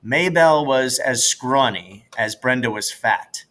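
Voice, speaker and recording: A man narrates a children's novel as a read-aloud. His voice sits at a middle pitch with a bright, forceful tone, in the style of a teacher reading a story. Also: animated, unhurried.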